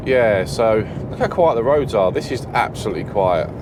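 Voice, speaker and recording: Stuffy-nosed British trucker, deep voice. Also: male voice, animated, moderate pace. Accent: British English. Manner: rambling, self-correcting, chatty. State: stuffy blocked nose, sniffling. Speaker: truck driver vlogger. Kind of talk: casual driving vlog commentary. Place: truck cab at night on a motorway. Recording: loud engine rumble in cab.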